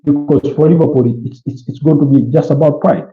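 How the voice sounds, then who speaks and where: A man's voice: a little high, steady tone, fairly quick, music in the background. Ugandan man on an echoey livestream. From Kampala, Uganda. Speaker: livestream host. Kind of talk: casual football preview and chat with viewers. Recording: dull, roomy, echoey sound.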